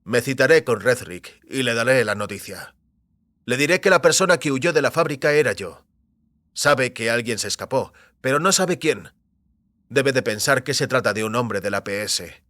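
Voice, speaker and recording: Un actor interpreta a un extécnico fugitivo, decidido y calculador, en una audioficción, con habla segura y pausada. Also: male voice, mid-range voice, expressive, pushed and bright, dry recording. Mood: confident. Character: Jennings, determined scheming former technician on the run. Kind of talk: science fiction audio drama dialogue.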